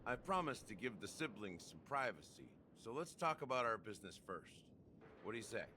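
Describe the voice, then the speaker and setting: A man's voice: on the low side, big pitch swings, brisk, bright, forceful tone, a little hiss or hum. A man acting as a sly, self-assured schemer with a theatrical, casually confident delivery in a game story. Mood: wry, confident. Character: sly, self-assured schemer revealing his hidden plan. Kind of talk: dramatic game story dialogue. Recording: game music behind.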